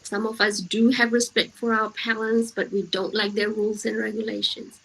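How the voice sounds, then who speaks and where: A woman's voice: medium pitch, little pitch movement, moderate pace, creaky, fairly bright tone, a little hiss or hum, rough recording. A woman giving a motivational talk to young people, speaking emphatically with repeated phrases and rhetorical questions. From grew up in the Western world, of Indian heritage.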